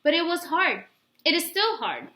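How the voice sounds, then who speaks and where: A woman voicing a loving, weary and reassuring mother in a storybook, speaking gently and earnestly. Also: extremely high pitch, very animated, moderate pace, reverberant, voice pushed up, exaggerated voice. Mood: weary, resigned.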